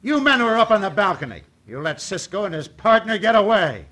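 A hot-tempered outlaw boss from a radio western. The voice is high, hard and forceful, barking orders and heaping scorn like a born bully. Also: male voice, sing-song, a little fast, exaggerated voice. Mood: scornful, menacing. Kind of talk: old radio western acting.

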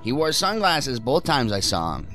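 A man's voice: mid-range voice, sing-song, moderate pace, a bit bright, soft background music. An actor playing a cynical, irritated son of a wealthy man in an audio drama, with a scornful, dismissive manner. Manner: scornful, dismissive, chuckling sarcasm. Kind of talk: naturalistic audio drama acting.

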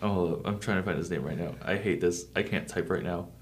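A man's voice: low voice, fairly quick. A man co-hosting a podcast in casual chat, upbeat and joking, hyping up his co-host.

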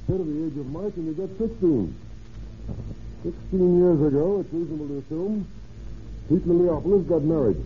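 An actor playing a confident, methodical private detective in an old-time radio drama, musing and deliberate. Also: male voice, on the high side, close to an everyday voice. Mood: reasoning aloud. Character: methodical, confident male private sleuth dictating to his secretary. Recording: tinny, noisy vintage radio recording.

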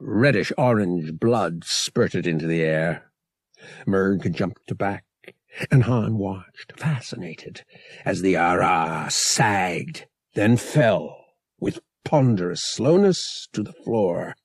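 A man's voice: sits low, expressive, slow pace, breathy edge, no echo, clean studio sound. A man narrating action fiction as a dramatic scripted audiobook read.

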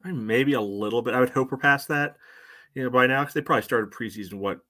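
A man co-hosting a soccer podcast, giving casual analysis in a halting way, peppered with "you know". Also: mid-range voice, pushed and bright, no echo, clean studio sound. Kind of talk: casual sports analysis chat.

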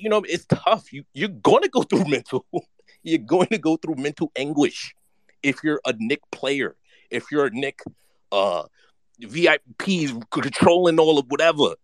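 Excited, slangy man. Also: on the high side, no echo, poor audio. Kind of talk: casual fan chat.

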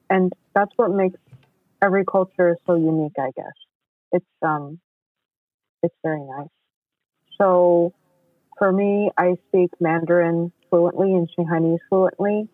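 A man's voice: a little high, no echo. A man from New York City with an American accent, explaining things agreeably as a guest in a casual interview. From New York City, United States. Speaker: podcast guest. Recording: thin phone-like audio.

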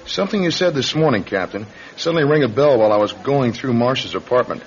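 An American man acting as a calm, persistent police detective, deliberate and explanatory, in a radio crime drama. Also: mid-range voice, close to an everyday voice. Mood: methodical. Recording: dull recording with faint noise.

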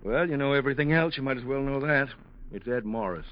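Plainclothes detective played by an actor, mid-range male voice, sly and quietly menacing, dulled vintage radio recording. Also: medium pitch, lively intonation, fast talker, lightly acted. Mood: amused, sly. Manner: easygoing, sly, insinuating. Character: casual, needling plainclothes police tail, quietly menacing. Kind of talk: 1940s radio drama acting. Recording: muffled old radio recording.

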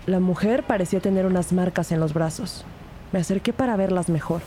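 A woman's voice: on the low side, dark tone, a little hiss or hum, close to an everyday voice. A woman playing a serious, observant police investigator who narrates a crime audio drama in the first person. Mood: grave, narrating. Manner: measured, serious.

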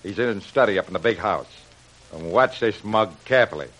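A tough American gangster boss, low in pitch and sardonic. He barks slangy orders and wisecracks in the manner of old radio drama. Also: brisk, a bit bright, low-quality recording. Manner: sardonic, bossy. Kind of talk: old-time radio adventure acting.

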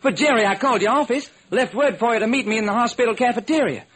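An actor playing a baffled, folksy police lieutenant in an old-time radio detective drama, talking in earnest slang. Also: male voice, extremely high pitch, fast talker, a bit bright, slightly rough, exaggerated voice. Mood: urgent, puzzled. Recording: noisy vintage radio recording.

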